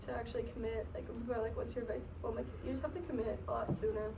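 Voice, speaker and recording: A woman's voice: fairly high pitch, level tone, very fast, vocal fry. A young woman telling a casual story to a group, chatty and full of "like", laughing often. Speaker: sales team member sharing her story. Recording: distant mic, noisy room.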